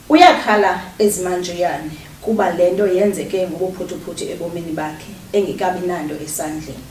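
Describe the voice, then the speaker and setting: A woman's voice: on the low side, lightly acted. A South African woman performing as a solemn storyteller in dramatic stage narration. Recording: distant, echoey room, faint noise.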